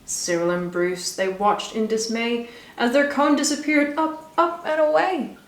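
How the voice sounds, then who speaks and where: A woman reading a rhyming children's story aloud with expressive, rhythmic delivery. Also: a little high, measured pace. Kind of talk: scripted storybook narration for children. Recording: echoey room.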